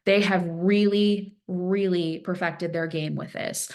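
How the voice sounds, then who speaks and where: A woman's voice: lowish voice, steady tone, takes it slowly, darkish tone. Earnest woman presenting a cyber briefing. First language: Farsi speaker. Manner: earnest, emphatic, repeats phrases for stress. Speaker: threat intelligence analyst presenting. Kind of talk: webinar presentation.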